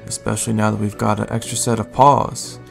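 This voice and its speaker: Man acting calm gravelly low-voiced Raichu guardian. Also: faint music behind. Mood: earnest, explaining. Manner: measured, explanatory. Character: calm, helpful adult male Raichu guardian, steady and responsible. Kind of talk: audio drama dialogue.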